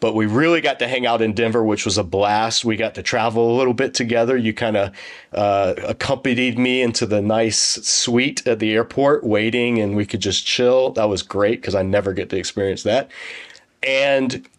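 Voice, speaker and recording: A man's voice: fairly low pitch, normal speed. A man hosting an interview, friendly and enthusiastic, joking easily with his guest. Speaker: YouTube channel host. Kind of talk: casual interview conversation.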